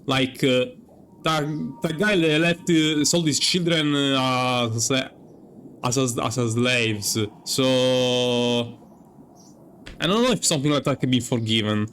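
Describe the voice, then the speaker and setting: A man's voice: mid-range voice, slow, a bit bright, slight background noise. A man giving casual playthrough commentary, rambling and hesitant, swearing as he thinks aloud. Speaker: gaming streamer.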